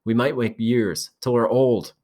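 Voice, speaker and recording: A man voicing an earnest boy chief who speaks loudly and insistently. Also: medium pitch, measured pace, pitched above the performer's own voice, lightly acted. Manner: forceful, insistent.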